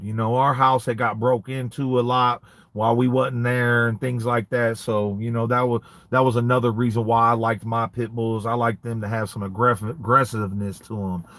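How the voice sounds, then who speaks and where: A man in his late thirties talks easy and loose on a phone livestream, tossing in "man" and "you know" as he goes. His voice sits in an ordinary middle pitch, relaxed and unhurried. He answers comments like he's chatting with friends. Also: no room sound. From United States. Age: 30s. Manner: laid-back street slang, frequent "man" and "you know". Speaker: dog breeder hosting a livestream. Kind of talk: casual livestream chat reading comments.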